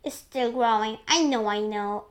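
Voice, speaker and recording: A woman voicing a bossy, excitable mean aunt from a children's storybook, exclaiming loudly. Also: on the high side, a little slow, close to an everyday voice. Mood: excited. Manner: shouting, exclaiming.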